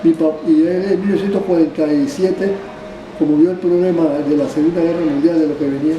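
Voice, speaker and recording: A man's voice: a little high, steady tone, noisy background. Older man telling stories, distant. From Panama. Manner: halting storyteller, frequent restarts and tag questions. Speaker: barber being interviewed. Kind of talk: rambling oral history. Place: barbershop. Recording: roomy, distant mic, background ambience.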